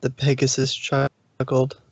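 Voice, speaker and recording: A male narrator reads a scripted story slowly, with measured drama. His mid-pitched voice comes through a slightly dull, muffled home recording. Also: monotone, creaky, slight background noise.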